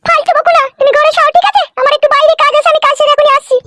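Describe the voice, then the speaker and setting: Tiny squeaky cartoon wife. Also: female voice, steady tone, rapid-fire, heavy background noise. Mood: bossy, annoyed. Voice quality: squeaky, childlike. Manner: bossy, curt, insistent. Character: stubborn, scolding young wife, squeaky and defiant. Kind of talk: amateur comedy cartoon dubbing. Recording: loud music under the voice.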